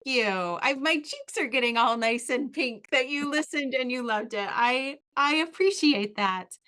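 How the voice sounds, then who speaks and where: A woman's voice: high-pitched, lively intonation, measured pace, sharp tone. A woman hosting a children's book podcast, speaking effusively and enthusiastically to her guest. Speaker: podcast host. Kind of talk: casual interview.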